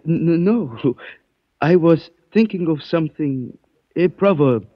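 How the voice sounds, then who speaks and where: An actor playing a suave, menacing older Chinese man in a 1940s radio mystery. He speaks formally and politely, in a measured way, and likes proverbs. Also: a little high, animated, dry recording. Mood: calm menace. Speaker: mystery character in a radio drama. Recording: dull, dry old radio recording.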